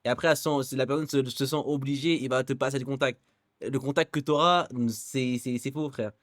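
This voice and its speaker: Exclamatory slangy young streamer, dry sound. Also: male voice, mid-range voice, fairly even intonation, brisk, no echo. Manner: slangy, teasing, exclamatory, repeats himself. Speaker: streamer reacting to a video. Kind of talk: casual live reaction commentary.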